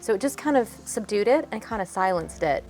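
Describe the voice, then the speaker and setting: A woman's voice: mid-range voice, animated, a little fast, a little mellow, faint music behind. A woman in her thirties hosting a home makeover video outdoors, chatting enthusiastically and laughing often. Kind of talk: casual on-camera chat and tips. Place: outdoors in a backyard garden.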